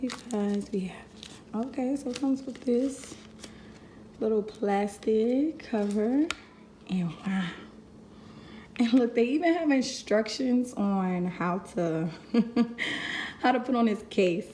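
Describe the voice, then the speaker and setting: A woman's voice: on the high side, slow pace, pauses often, warm tone, a little hiss or hum. Chatty woman vlogger in an echoey room. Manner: chatty, addresses viewers as you guys. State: getting over a cold. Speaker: vlogger host. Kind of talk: casual unboxing review.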